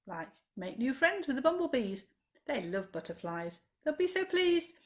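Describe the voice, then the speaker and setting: Woman reading as an encouraging, animated little girl. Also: sits high, normal speed. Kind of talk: children's storybook read-aloud.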